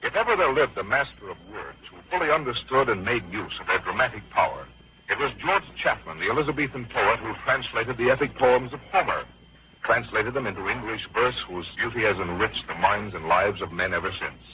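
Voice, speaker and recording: A man's voice: lowish voice, lively intonation, fairly quick. A man working as a radio announcer, delivering polished 1940s sponsor copy in formal, earnest phrases. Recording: dull vintage radio recording.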